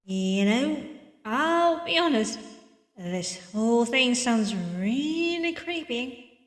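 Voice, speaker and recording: A woman voicing a chatty, jovial local man in a detective visual novel, with an animated, teasing manner. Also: fairly high pitch, takes it slowly. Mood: musing, skeptical. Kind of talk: visual novel character acting. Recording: distant mic, echoey reverberant sound.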